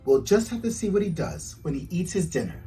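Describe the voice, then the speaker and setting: A man's voice: sits high, sing-song, a little fast, warm tone, soft background music, voice pushed up. A man voicing a patient, proper mother who gives polite instruction in a storybook. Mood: patient teaching.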